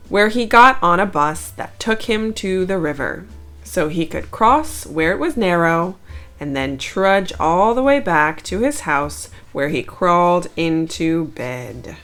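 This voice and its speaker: Woman reading a kids book out loud, really slow, lots of up and down in her voice. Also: fairly low pitch. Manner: expressive, deliberate, dramatic pauses. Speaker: children's book narrator. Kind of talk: read-aloud storytelling for children.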